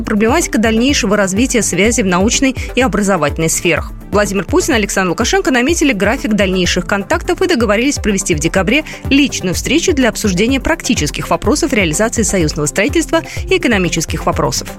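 A woman's voice: medium pitch, sing-song, fast, few pauses. A woman presenting the news, reading a scripted bulletin in a formal manner. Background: music bed.

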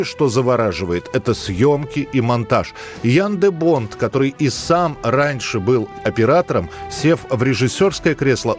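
A man's voice: mid-range voice, a little mellow. A man presenting a radio programme, reading scripted narration about past events in a studio. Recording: music bed under the voice. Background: background music.

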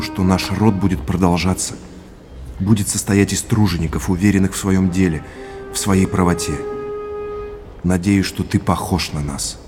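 An actor playing a sincere, grateful heir in an audio drama, speaking in measured, earnest short phrases. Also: male voice, low-pitched. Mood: solemn, reflective. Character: Oleg, sincere grateful heir to a family legacy.

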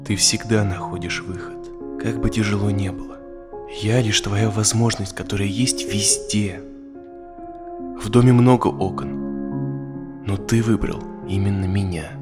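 Casting: male actor as a dreamlike window spirit, low, soft and solemn, reassuring, music underneath. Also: steady tone, unhurried, pauses often, natural voice. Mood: calm, reassuring. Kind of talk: audio drama role-play.

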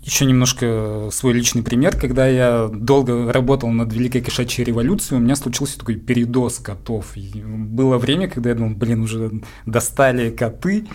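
A man's voice: medium pitch, a little fast, a little mellow. A man hosting a casual, conversational interview, asking questions and sharing his own examples. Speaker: interviewer, writer.

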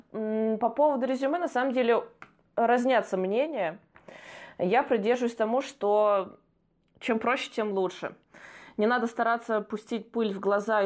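A woman's voice: medium pitch, a little mellow. A young woman co-hosting a casual podcast, chatting and laughing often as she shares her own experience. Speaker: podcast co-host.

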